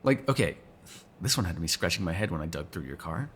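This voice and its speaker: A man's voice: sits low, lively intonation, fairly quick, slight background noise. A man playing a sarcastic, teasing vampire who kills without regret, in casual, profane roleplay banter. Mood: teasing, exasperated. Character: snarky male vampire, restless unrepentant killer, teasing. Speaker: voice actor in an audio roleplay. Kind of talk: ASMR roleplay, casual one-sided dialogue.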